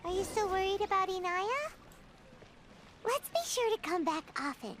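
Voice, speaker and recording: Tiny caring game sidekick girl, squeaky high, lively, loud noise behind. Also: normal speed. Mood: concerned, hopeful. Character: small, childlike, caring female companion. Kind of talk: video game voice acting.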